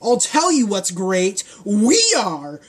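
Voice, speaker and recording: A woman reading a storybook aloud, voicing gloating candy guards who shout orders. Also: medium pitch, very animated, slow pace, slightly rough, pitched above the performer's own voice, full character voice. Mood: taunting. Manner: shouting, taunting.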